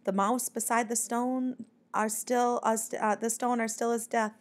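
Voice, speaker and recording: A woman's voice: fairly high pitch, little pitch movement, unhurried, lightly acted. A woman voicing a shy, earnest little male bat poet in a storybook, with a timid, hesitant manner. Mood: hushed, solemn.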